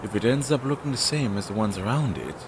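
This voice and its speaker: A man's voice: big pitch swings, fast. A man playing an anxious, talkative demon crow familiar in an audio roleplay, speaking hesitantly with sighs and chatty asides to his master. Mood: sheepish. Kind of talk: scripted audio roleplay addressed to the listener. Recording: noisy low-quality home recording.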